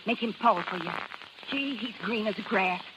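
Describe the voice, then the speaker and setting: A woman's voice: mid-range voice, normal speed, close to an everyday voice. An actress playing a bitter, jilted, scheming carnival showwoman with slangy, tough American talk, in 1930s radio drama style. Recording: noisy 1930s radio recording.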